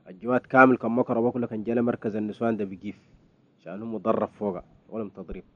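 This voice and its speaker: A man interviewing in a casual conversation, talking quickly with frequent restarts. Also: on the low side, level tone. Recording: dull lo-fi recording with faint noise.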